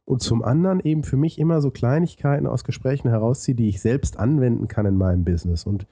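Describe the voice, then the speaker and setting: Dark-voiced man with a lisp. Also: medium pitch, fast, breathy edge, no room sound. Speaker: interview guest, podcaster and consultant. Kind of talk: conversational interview storytelling.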